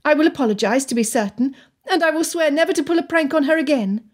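A woman's voice: high voice, quick pace, voice pushed up, natural voice. A woman voicing a headstrong, impulsive young girl of genteel birth, earnest and insistent, in a storybook reading. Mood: pleading. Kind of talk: dramatised audiobook dialogue.

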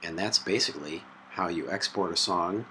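A man presenting a software tutorial step by step in a halting way, recorded on a laptop microphone. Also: low voice. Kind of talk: hands-on software tutorial narration. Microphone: built-in laptop microphone. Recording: noisy laptop mic sound.

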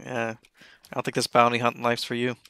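Flat, deadpan man needling on game chat. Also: mid-range voice, fast talker, clean tone, no room sound. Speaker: online game player. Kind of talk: casual taunting in game voice chat.

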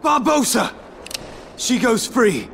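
An actor playing an earnest, defiant young adventure hero in a video game, urgent and declamatory. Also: male voice, very high-pitched, sing-song, slow pace, a bit bright, slight background noise, full character voice. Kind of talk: video game cutscene acting.

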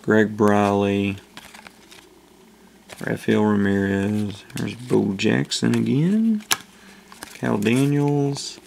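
A man hosting a casual video, slowly reading off names as he opens packs at a table indoors. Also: vocal fry, darkish tone, a little hiss or hum. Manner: slow, low-key listing of names. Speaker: channel host opening card packs. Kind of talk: casual card-opening commentary.

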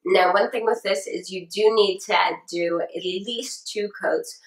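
A woman's voice: medium pitch, a little slow, echoey room, rough recording. A young woman with a sore throat, chatting upbeat to camera as she reviews her monthly beauty favorites in a small room. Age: young adult. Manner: chatty and upbeat, repeats words for emphasis. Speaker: beauty vlogger. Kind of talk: casual product review to camera. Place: small room indoors with shelves. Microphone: camera at close framing.

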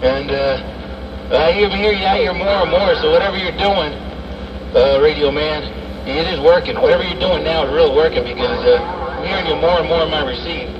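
A man's voice: fairly high pitch, a little fast, crowd noise. A man chatting casually as a CB operator, heard through a radio transmission. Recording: dull noisy distant CB radio.

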